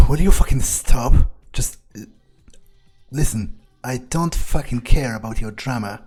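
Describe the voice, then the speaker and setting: A man's voice: mid-range voice, a little slow, dark tone, husky, soft background music. A man doing in-character game playthrough commentary, thinking aloud hesitantly and swearing bluntly. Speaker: gaming video creator.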